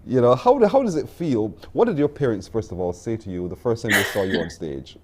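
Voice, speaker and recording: A man's voice: on the low side, animated, fairly quick, very noisy. A man hosting a morning TV show, chatty and laughing easily as he asks long, rambling questions. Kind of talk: broadcast interview.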